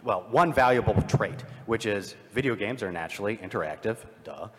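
A man on a live convention panel, thinking aloud with frequent restarts and lively jumps in emphasis. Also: on the low side, a little fast. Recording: hall mic with long reverb.

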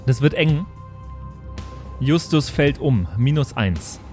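A male tabletop player talks in a casual, joking way. His voice is of medium pitch, with faint music behind it. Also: lively intonation, slow pace, darkish tone. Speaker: player in a tabletop role-playing game. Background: background music.

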